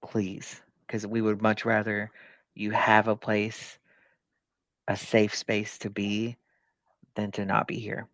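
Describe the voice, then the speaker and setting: A man's voice: lowish voice, monotone, slow, long pauses, a bit bright, no echo. A man from the United States speaking as a political candidate in a conversational interview, plain-spoken and repeating phrases for emphasis. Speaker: political candidate, podcast guest.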